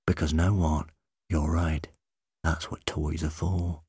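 A man voicing a kindly, inquisitive doctor who gently draws people out, in an audiobook adventure. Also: on the high side, huge pitch swings, normal speed, dry recording, studio quality, pitched above the performer's own voice. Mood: gentle. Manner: probing, gently engaging.

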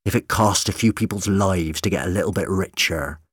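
A man voicing a serious, scholarly uncle with a measured, moralising way of talking, in an audiobook story. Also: low voice, breathy edge, no echo, clean studio sound. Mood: grave. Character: sober, principled uncle with a scholarly interest.

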